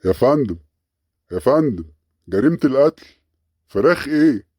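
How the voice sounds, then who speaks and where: A man's voice: medium pitch, big pitch swings, moderate pace, a little mellow, breathy edge, no room sound, clean studio sound. A man voicing a harried, dutiful police sergeant in an Egyptian comedy cartoon, urgent yet deferential, with an Egyptian accent. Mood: pleading.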